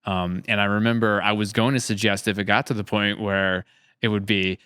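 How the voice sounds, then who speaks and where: Clear, low-voiced man hosting a podcast. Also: little pitch movement, brisk, pushed and bright, no room sound, clean studio sound. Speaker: podcast host, series creator. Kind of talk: casual podcast interview.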